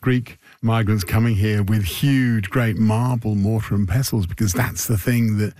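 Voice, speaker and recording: Man hosting interview, low mellow voice, relaxed, dry sound. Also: unhurried, dry recording. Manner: relaxed, with encouraging backchannels. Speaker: interviewer, host. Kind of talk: conversational interview.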